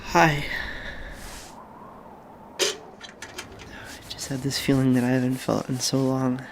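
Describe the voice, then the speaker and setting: Hesitant, wistful young janitor. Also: male voice, medium pitch, level tone, noisy background. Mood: wistful, confiding. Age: young adult. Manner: hesitant, halting, hushed confiding storytelling. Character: lonely, dreamy young male janitor, tender and wistful. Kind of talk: intimate audio drama monologue.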